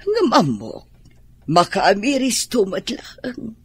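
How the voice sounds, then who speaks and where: An older, fretful in-law in a radio serial. His husky voice swings wildly in pitch and moves slowly, with a cracked edge. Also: wildly swooping pitch, heavily acted. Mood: troubled. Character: slow, troubled in-law fretting over a married couple. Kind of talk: radio drama acting.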